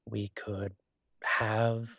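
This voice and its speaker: Husky, easygoing chef boyfriend, acted by a man. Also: on the low side, flat delivery, fairly bright tone, no room sound, studio quality, heavily acted. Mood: hopeful, practical. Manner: halting, casual, reassuring, trailing pauses. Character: easygoing, earnest chef boyfriend coaxing his partner. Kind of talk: intimate roleplay audio drama, casual one-sided conversation.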